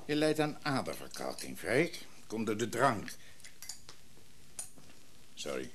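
An actor playing a brusque, defensive middle-aged shopkeeper, curt and dry, in a naturalistic radio play. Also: male voice, fairly low pitch, very animated, slow pace, slight background noise. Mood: curt, irritated.

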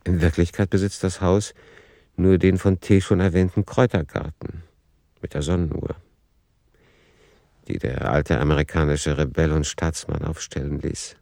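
Deep, dry-recorded analytical male author. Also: steady tone, normal speed, gravelly, warm tone, no room sound. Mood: sober, reflective. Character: male author soberly commenting on his own manuscript. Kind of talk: essayistic literary commentary in radio play.

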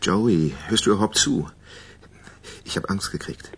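Very husky, low-voiced good-natured journalist. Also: male voice, unhurried, full character voice. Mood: anxious. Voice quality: very husky, rough. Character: good-natured, self-deprecating younger male journalist. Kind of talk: German radio drama dialogue.

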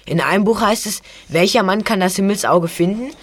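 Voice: mid-range voice, brisk, natural voice. An actor playing an eager, studious teenage boy detective in an audio drama. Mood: informative. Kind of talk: hobby audio drama acting.

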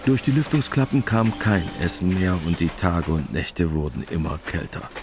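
A man's voice: low voice, expressive, moderate pace, background noise. A man narrating a scripted radio drama. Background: music.

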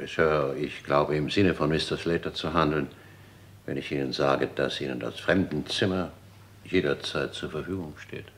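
A correct, cautious manservant in a vintage radio crime play. The voice is extremely deep, and he picks his words with stiff, deferential politeness. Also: steady tone, normal speed.

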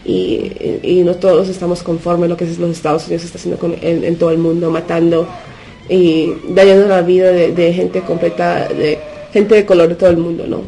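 A woman from the United States, a native Spanish speaker, talking unscripted as a radio interview guest. She speaks haltingly, searching for words and slipping in English fillers. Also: lowish voice, level tone, mellow, slight background noise, rough recording. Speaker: interview guest from a punk collective.

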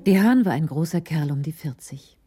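A woman's voice: low-pitched, expressive, normal speed, mellow, husky, soft background music. A woman narrating a radio crime drama from a script in the role of storyteller.

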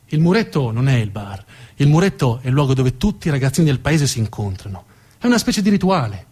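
A man's voice: mid-range voice, sing-song, fast, darkish tone, slightly rough. A man performing a narrative theatre monologue, emphatic and theatrical, repeating words for effect. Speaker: storytelling stage actor.

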